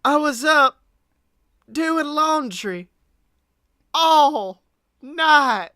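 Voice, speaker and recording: A man's voice: extremely high pitch, lively intonation, very slow, bright, forceful tone, no room sound, studio quality, voice pushed up, full character voice. A man playing a wrestler's defensive family in a plaintive comic reading. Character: wrestler's family, child and mother, explaining themselves defensively.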